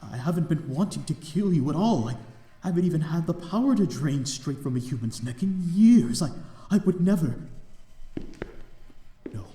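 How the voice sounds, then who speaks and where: A man playing a lonely, remorseful vampire in an ASMR audio roleplay, with halting, stammering, formal old-fashioned speech. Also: a little high, animated, warm tone, reverberant, pitched above the performer's own voice, natural voice. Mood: anxious pleading. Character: lonely, remorseful male vampire of a desolate manor.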